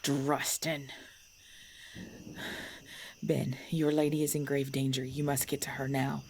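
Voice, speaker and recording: A woman playing an ancient, composed vampire in a supernatural ASMR drama, speaking in measured, formal, deliberate phrases. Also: very deep voice, full character voice. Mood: grave, urgent. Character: ancient female vampire, composed, knowing, darkly playful. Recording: steady background noise with chirping crickets.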